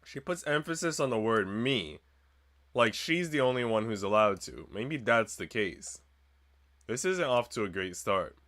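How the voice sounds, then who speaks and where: A man's voice: medium pitch, animated, unhurried, a bit bright, no echo. A man reading a visual novel aloud as a streamer, narrating the script and dropping in slangy, joking asides.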